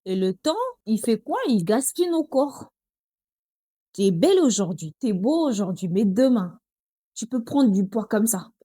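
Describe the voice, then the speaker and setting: A woman's voice: medium pitch, expressive, normal speed, mellow, breathy edge, dry recording, clean studio sound. A young woman with a Congolese accent chatting to her viewers in a casual vlog, often calling them girls. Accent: Congolese French. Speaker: vlogger. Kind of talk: casual talk to camera.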